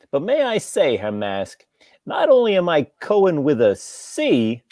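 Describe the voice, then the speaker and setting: A woman's voice: lowish voice, a little mellow, no room sound, full character voice. Woman doing a pompous indignant male lodger, stage comedy read, super swoopy delivery, dry close sound. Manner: pompous, theatrical. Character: indignant, self-important male lodger storming out. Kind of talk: theatrical table read of a comic play.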